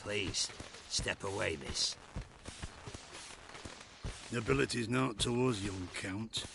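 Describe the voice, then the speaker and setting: Menacing slow gravelly thug hunter, low voice, game cutscene, noisy. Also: male voice. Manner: threatening, deliberate. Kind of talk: video game voice acting.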